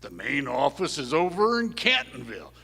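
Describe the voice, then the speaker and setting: An actor playing a chatty, bragging outlaw cowboy in a live Western radio play, with a folksy drawl. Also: male voice, mid-range voice, huge pitch swings, normal speed, vocal fry, bright, forceful tone, breathy edge, heavily acted. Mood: boastful, confiding. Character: chatty, boastful male cowboy outlaw gang member. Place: performing arts theater stage. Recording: very reverberant hall, stage performance.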